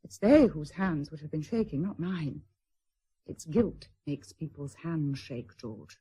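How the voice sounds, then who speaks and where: Period radio drama: estranged aristocratic wife, British, middle-aged, husky, mellow, formal, proud yet brittle. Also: female voice, low-pitched, expressive, exaggerated voice. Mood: nervous, bitter. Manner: formal, measured, hesitant.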